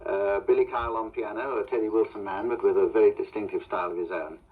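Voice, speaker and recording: A thin, phone-like old radio tape carries a man's slightly husky, lowish voice. He presents jazz in a measured, erudite way, as a knowledgeable commentator. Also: steady tone. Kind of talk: music commentary.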